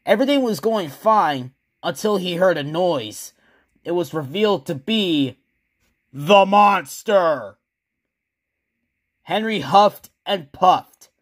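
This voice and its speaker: A man narrating a scripted horror story with a dramatic, drawn-out delivery. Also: sits high, lively intonation.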